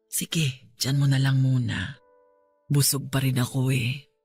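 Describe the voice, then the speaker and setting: Ailing older nanny, very low voice, weary, gentle, halting, radio drama, music behind. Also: female voice, level tone, breathy edge, heavily acted. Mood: gentle, grateful. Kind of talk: Tagalog radio drama acting.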